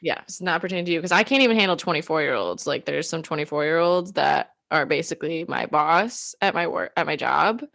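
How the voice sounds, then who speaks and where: A woman's voice: low-pitched, animated, a little fast, gravelly, few pauses, fairly bright tone, dry recording. A woman in her late twenties co-hosting a casual recap chat, telling stories and giggling often. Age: late 20s. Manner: giggly, storytelling, agreeable. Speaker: podcast co-host.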